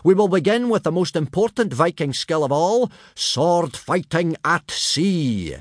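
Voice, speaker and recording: A man voicing a loud, gruff male Viking trainer who yells his orders. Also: expressive, unhurried, no echo, clean studio sound. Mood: booming. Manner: booming, yelling.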